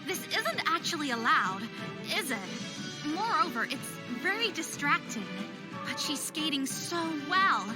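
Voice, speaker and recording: A woman's voice: high voice, sing-song, unhurried, loud music behind the voice, poor audio. A woman voicing an anxious but determined young female figure skater in a visual novel game, given to self-doubt and pep talks. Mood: amazed, indignant.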